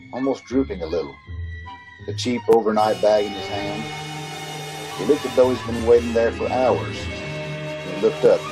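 A man's voice: lowish voice, lightly acted, low-quality recording. A man playing a haunted, uneasy motorist who tells his story in an old-time radio drama. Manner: measured narrating, nervous stammering in dialogue. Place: home, recorded over a video call.

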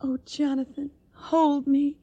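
High-voiced, tender young Southern woman. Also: level tone, slow pace, warm tone, highs cut off. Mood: anxious. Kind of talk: radio drama acting.

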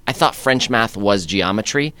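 Young man, Bay Area raised, interview guest; smooth resonant low voice, loose and chatty. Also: lively intonation, normal speed, sharp tone. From San Francisco Bay Area. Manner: easygoing, many fillers and restarts, laughs often. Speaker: guest comedian. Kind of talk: casual interview conversation.

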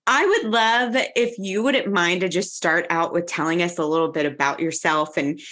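A woman co-hosting a podcast interview, friendly and quick to laugh. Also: lowish voice, fairly bright tone. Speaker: podcast co-host.

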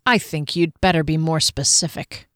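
A woman's voice: low-pitched, fairly even intonation, normal speed, no room sound, clean studio sound, lightly acted. A woman voicing a defiant female fantasy adventurer in an audiobook, with a sarcastic, indignant manner.

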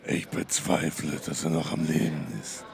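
A man playing a gruff, slow-witted police commissioner with a gravelly, husky voice and deadpan grumbling in a comic audio drama. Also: rumbling low. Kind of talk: comedic audio drama acting.

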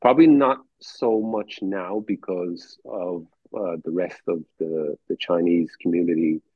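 A man with an Irish accent tells stories at an easy pace, stumbling and repeating himself as he goes. His voice is low and husky. It comes through a thin, dry, phone-like video call line. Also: steady tone, measured pace, no echo. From Ireland. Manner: easygoing storyteller, stumbles and repeats words. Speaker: guest. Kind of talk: casual interview chat. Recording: tinny dry call audio.

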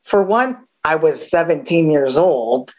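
A woman with a gravelly voice giving a candid recovery share, halting with many fillers and a wry edge. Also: low-pitched, animated, a little mellow. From Southern California. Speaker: AA speaker.

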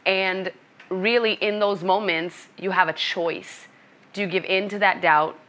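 A middle-aged woman from Brooklyn, a coach being interviewed, speaking in a thoughtful, measured way. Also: medium pitch, fairly even intonation, fairly bright tone. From Brooklyn, New York. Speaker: coach and author. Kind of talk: interview conversation.

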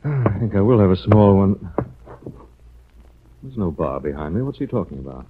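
Muffled vintage radio, anxious young gentleman sleuth, lowish pitch, earnest, agitated, dramatic. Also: very animated, normal speed. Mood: puzzled, polite. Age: young adult. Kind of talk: 1940s radio drama acting. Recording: muffled, noisy 1940s radio recording.

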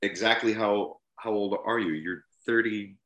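A man in his forties, a guest on a video call, chatting casually with his nieces and telling stories in a relaxed way. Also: on the low side, unhurried, reverberant. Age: 40s. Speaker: podcast guest. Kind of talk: casual family chat.

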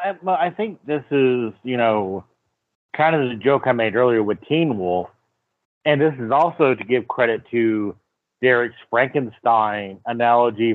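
A man's voice: medium pitch, dry recording. A man co-hosting a casual podcast chat, talking in a jokey, hedging way. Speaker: podcast co-host. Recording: dry phone-like line.

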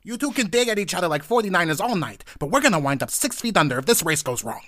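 An actor playing an eager rookie detective in a noir comedy audio drama, awkwardly suave and easily flustered, with a husky voice. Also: medium pitch, sing-song, fast talker, fairly bright tone, no room sound, clean studio sound. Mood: exasperated, pleading. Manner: mock-smooth flirting that slips into flustered exclamations.

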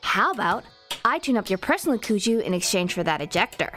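An unimpressed tinkerer talks in a wry, casual way. Her mid-pitched voice moves a lot in intonation, with faint music behind, in a sci-fi comedy audio play. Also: very animated, a little fast, a bit bright. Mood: wry bargaining. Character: stubborn, dry, unimpressed woman tinkerer, smoker.

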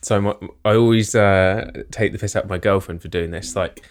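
A man's voice: lowish voice, fairly even intonation, slight background noise. A young man from the UK, co-hosting a podcast, rambling and poking fun at himself. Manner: rambling, self-mocking, quick laughs. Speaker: podcast co-host. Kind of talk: casual chat.

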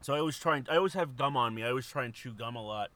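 A man's voice: medium pitch, rapid-fire, bright, forceful tone, dry recording. A man co-hosting a podcast, rambling and swearing casually as he laughs easily. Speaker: podcast co-host.

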